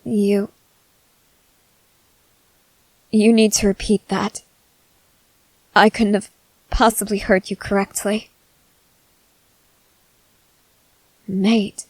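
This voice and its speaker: A woman playing a guarded young female soldier, blunt but softening, in halting roleplay ASMR. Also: medium pitch, no echo, poor audio. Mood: surprised, puzzled. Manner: halting, hesitant, earnest delivery.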